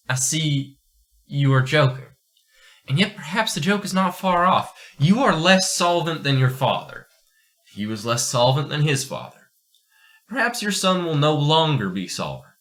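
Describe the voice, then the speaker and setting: A man's voice: a little high, fairly bright tone. A man playing an earnest, strict astronomer in an amateur radio play, speaking formally and emphatically. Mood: grave, concerned. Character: earnest, agitated astronomer pleading for change, fatherly and strict.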